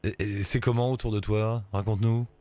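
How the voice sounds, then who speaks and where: A man's voice: on the low side, little pitch movement, a little fast, dark tone, no room sound. A man hosting a radio show from a studio, asking callers friendly and curious questions. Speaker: radio host. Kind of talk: call-in radio interview.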